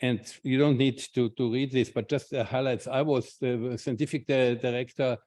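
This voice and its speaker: Halting Austrian man giving a talk. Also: mid-range voice, fairly even intonation, a little mellow. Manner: halting, many restarts and repeated words. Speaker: invited speaker, clinician researcher. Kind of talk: conference talk with slides.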